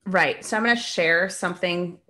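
A woman in her forties co-hosting a podcast from home, walking through a slide in a steady, explanatory way with plenty of fillers. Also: lowish voice, normal speed. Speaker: podcast co-host. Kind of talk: casual conversation and explaining a slide. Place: home living room indoors. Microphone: desk microphone on a video call.

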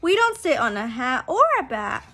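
A young woman, a preschool teacher, reading a picture book aloud to small children in an animated, sing-song, exaggerated way. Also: high voice, moderate pace. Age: young adult. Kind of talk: read-aloud story time for small children. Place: small room at home, indoors. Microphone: phone at arm's length.

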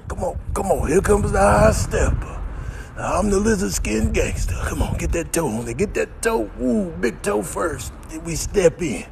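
A man's voice: high voice, lively intonation, moderate pace, creaky, noisy background. A man voicing a cocky, strutting lizard gangster in a comedy animal dub, hyping himself up. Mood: cocky effort.

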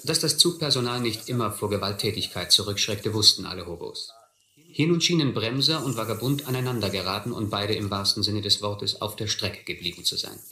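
A reflective storyteller recalls his life as a young vagabond in a scripted radio play. His voice is rather low yet very bright and forceful, in a roomy recording. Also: fairly quick. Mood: reflective, grave. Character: reflective adult male narrator recalling his vagabond youth. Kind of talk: scripted first-person narration in a radio drama.

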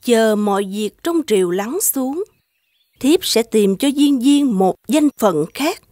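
A woman voicing a shrewd, scheming empress in an animated fairy tale, speaking in a measured, courtly way. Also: fairly high pitch, no echo, studio quality. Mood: persuasive. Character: shrewd, suspicious, scheming empress, soft-spoken to her emperor. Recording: music playing behind the voice.

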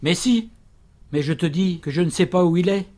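An actor playing an older grieving father, gruff, reproachful and defiant in an audio drama. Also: sits high, moderate pace, darkish tone, lightly acted.

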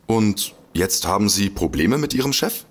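Casting: chatty, affable detective sidekick for comic radio play; lowish husky voice, polite, a bit bumbling. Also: male voice, expressive, normal speed. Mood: polite, helpful. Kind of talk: comedic audio drama acting.

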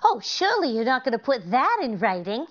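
A woman's voice: on the high side, very animated, brisk, highs cut off. A middle-aged woman playing a sweet, scatterbrained comedian wife in a 1940s radio comedy, with innocent, earnest literal-mindedness. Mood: innocent, puzzled.

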